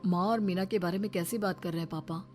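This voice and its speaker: A woman's voice: lowish voice, steady tone, quick pace, over music, natural voice. A woman voicing a devoted, protective young grandson in a cartoon story. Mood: concerned, eager.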